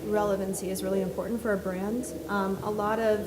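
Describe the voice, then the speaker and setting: A soft-spoken woman on a panel, answering at length with many fillers and restarts. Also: medium pitch, little pitch movement, brisk, background noise. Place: conference hall. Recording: distant reverberant hall with faint ambience.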